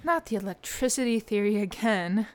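A woman's voice: mid-range voice, animated, normal speed, lightly acted. A woman playing an exasperated young friend in an audio drama. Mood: playful exasperation. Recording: recording with faint background noise.

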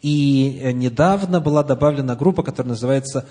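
A man's voice: medium pitch, a little fast, warm tone. A man interpreting a church address live, phrase by phrase, with some hesitation. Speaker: consecutive interpreter.

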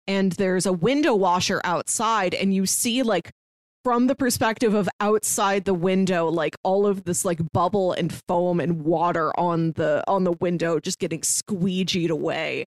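A woman's voice: on the low side, measured pace, no room sound. A woman co-hosting a podcast, talking about films in a thoughtful, sincere way, with many fillers and restarts. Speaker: podcast co-host. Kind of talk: casual film discussion.

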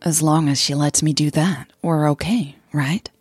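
A woman voicing a smitten, feisty but anxious woman in love in an audio drama, breathy and expressive. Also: deep voice, a little slow, dry recording, faint music behind. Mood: uneasy.

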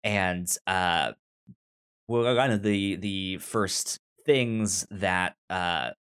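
A man co-hosting a podcast, casually discussing a movie in a halting way, often stuttering and repeating words and syllables. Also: deep voice, fairly bright tone, smooth. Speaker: podcast co-host.

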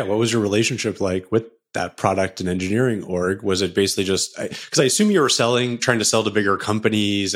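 A man hosting a podcast interview in a casual, chatty style. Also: low-pitched.

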